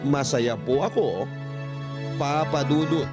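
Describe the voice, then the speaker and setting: A man's voice: medium pitch, slow pace. Man narrating earnestly over music. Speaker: radio storyteller. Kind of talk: dramatic narration of a letter. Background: background music.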